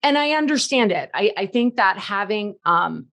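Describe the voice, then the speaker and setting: A woman's voice: medium pitch, lively intonation, normal speed, a bit bright. A woman of fifty hosting a podcast, talking in a chatty, informal way during a casual interview. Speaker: podcast host.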